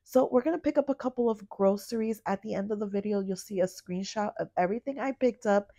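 A young woman recovering from a cold talks through a grocery couponing haul in a relaxed voiceover. Her voice is raspy with a gravelly fry. The recording is dry and close. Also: mid-range voice, fast talker, dry recording. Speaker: couponing vlogger. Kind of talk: casual shopping voiceover. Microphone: voiceover mic.

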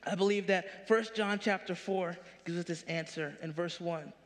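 A man in his thirties preaches from a big church stage, his high-set voice lifting into punchy questions. The sound rings out with the long reverb of a large hall. He is animated and direct, in full sermon mode. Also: normal speed. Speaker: pastor.

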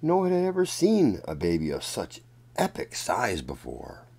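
A man reading a children's story aloud in a deliberate, expressive storytelling manner. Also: sits low, gravelly, husky. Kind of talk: read-aloud storybook narration.

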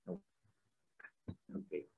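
A middle-aged man with a Czech accent, a musician talking earnestly as a guest on a webinar video call, repeating words as he goes. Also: slow pace. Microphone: video call laptop mic. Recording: dull lo-fi video call audio.

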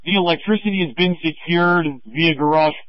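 High-pitched male firefighter on radio. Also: normal speed, dry recording. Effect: two-way radio. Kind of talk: short radio reports from a fire. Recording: muffled lo-fi two-way radio.

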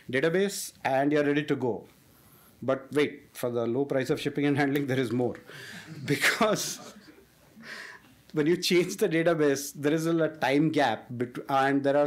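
A young man speaks from a conference stage in an easygoing, joking way, leaning on fillers like "uh" and "like". His voice sits at an ordinary middle pitch, and he presents technical material casually rather than formally. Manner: casual, self-deprecating humor with frequent fillers.

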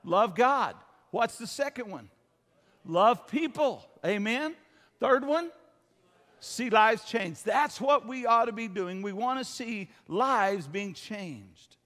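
Older guy preaching in church, high voice, folksy and emphatic, big echoey hall. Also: animated, takes it slowly, fairly bright tone. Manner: folksy, emphatic, seeks amens from listeners. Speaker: pastor. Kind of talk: sermon to a congregation. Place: church hall, speaking from the stage. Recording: long hall reverb.

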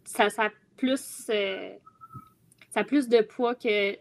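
A woman's voice: medium pitch, slow pace. A young woman with a Quebec French accent, chatting casually in a group discussion on a video call from home. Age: young adult. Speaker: guest columnist on a youth talk show.

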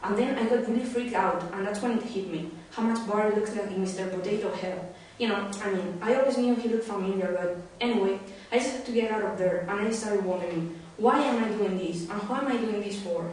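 A woman's voice: mid-range voice, brisk, darkish tone, natural voice. A woman with a Spanish accent playing a flustered, rambling young runaway bride in an amateur script reading. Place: wooden-floored room indoors. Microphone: far camera. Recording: echoey room, distant mic, faint noise.

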